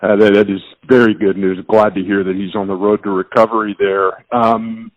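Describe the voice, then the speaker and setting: A male sports interviewer with a slightly low voice, asking questions conversationally. The recording is dry and close, with a slightly dull, lo-fi radio sound. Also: flat delivery, moderate pace, dry recording. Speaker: sports show host, interviewer.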